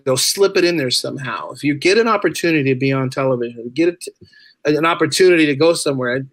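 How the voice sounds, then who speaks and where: Give this a middle-aged man with a bright, forceful voice pitched a little high. He talks like a podcast guest, informal and chatty, circling back over phrases for emphasis. The sound is close and dry. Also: dry recording. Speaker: podcast guest, teacher and writer. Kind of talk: casual conversational storytelling.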